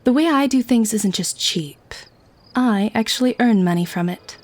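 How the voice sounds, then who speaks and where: A woman's voice: a little high, expressive, normal speed, darkish tone, natural voice. Woman doing a smug vampire lady, teasing n nonchalant, asmr roleplay style. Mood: smug, matter-of-fact. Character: teasing, cynical vampire woman, warden of mortal prisoners. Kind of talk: ASMR audio roleplay acting.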